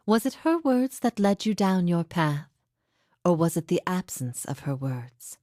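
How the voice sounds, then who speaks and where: A grave female teacher of a lost faith in a fantasy game, long imprisoned and forgiving. Her voice is low and closely dry, and she speaks in measured, reflective phrases, posing solemn questions like a philosopher. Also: sing-song, warm tone, no room sound. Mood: probing, calm. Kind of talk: scripted fantasy game dialogue.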